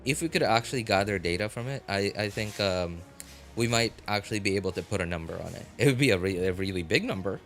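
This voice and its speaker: A man livestreaming a game, rambling casually and thoughtfully, often halting and repeating his phrases as he works out a thought. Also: fairly low pitch, animated, a bit bright. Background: background music.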